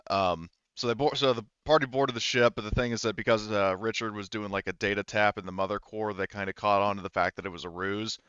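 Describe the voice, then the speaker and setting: A man casually narrating and explaining a tabletop game as game master, haltingly thinking aloud. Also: on the low side, steady tone, a bit bright, clean tone, dry recording, muffled recording.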